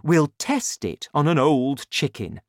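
A woman voicing an excitable, bossy middle-aged father in a children's storybook, exclaiming emphatically and insistently. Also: a bass voice, extremely slow, dry recording, studio quality, exaggerated voice. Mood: excited, insistent.

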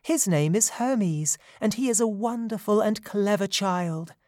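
A storyteller voicing a gentle female mountain fairy from a myth, in a sweet, soft voice. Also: unhurried, dry recording, clean studio sound, close to an everyday voice. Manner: sweet and gentle, a little higher.

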